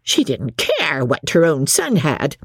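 A woman's voice: sits low, wildly swooping pitch, slow, vocal fry, slightly rough, dry recording, clean studio sound, heavily acted. A woman voicing a drunken, mocking female cook in an audiobook, with coarse rustic working-class English speech. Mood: scornful.

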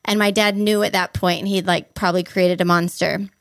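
A young woman from Hawaii tells her story with bright enthusiasm, piling up vivid details as she goes. Her voice sits at an ordinary middle pitch. The recording is close and dry, with no room sound. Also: level tone, brisk, no echo. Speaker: podcast guest. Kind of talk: storytelling interview answers.

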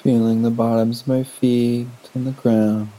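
A man leading a guided meditation in a gentle, unhurried manner with long pauses. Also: medium pitch, level tone, low-quality recording. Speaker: meditation teacher.

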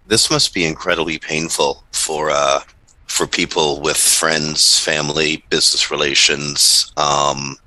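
A Canadian man commenting earnestly and deliberately during an interview. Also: very deep voice, fairly even intonation, bright, forceful tone, no room sound, poor audio.

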